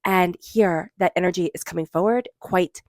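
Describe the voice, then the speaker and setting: A woman with a stuffy cold giving a spiritual tarot reading, gentle and meandering, slowing into soft chanted invocations. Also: on the low side, no room sound, studio quality. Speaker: tarot reader.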